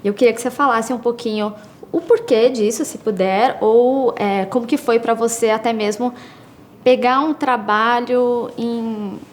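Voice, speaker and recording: A woman interviewer with a fairly high voice, friendly and chatty as she asks her questions. She fills in with frequent affirmations, and a faint hiss sits under her voice. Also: steady tone, measured pace.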